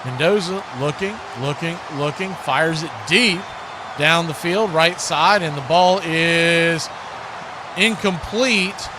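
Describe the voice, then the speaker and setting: A man calls a football game live over clear background noise. He talks conversationally, moving between play calls and his own take on the action. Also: on the high side, takes it slowly. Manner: conversational, mixes calls with opinion. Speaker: play-by-play announcer for a fan watch-party stream. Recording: clearly noisy live stream audio.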